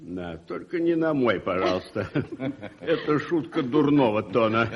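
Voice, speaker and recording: An actor playing a pompous, disapproving, stuffy gentleman in a radio drama, with a haughty, halting manner. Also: mid-range voice, lively intonation, vocal fry, husky, heavily acted. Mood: haughty, sardonic. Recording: dull early-1980s radio play recording.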